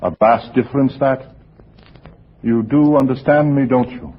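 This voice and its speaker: An actor playing a sinister, fanatically pious lodger in a 1940s radio drama, preaching in a formal, sanctimonious way. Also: male voice, mid-range voice, steady tone, a little slow, slightly rough. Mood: righteous, stern. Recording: muffled, noisy 1940s radio recording.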